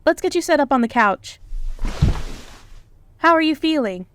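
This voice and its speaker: Girl voicing fussy caring young woman, high and animated, comic dub acting. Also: a little slow, slight background noise. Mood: concerned. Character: caring, fussing young woman tending a friend.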